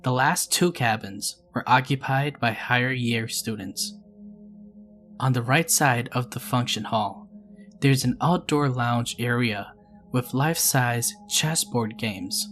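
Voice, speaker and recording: Calm man reading scary stories. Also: medium pitch. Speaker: storytelling channel host. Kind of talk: scripted narration of scary stories.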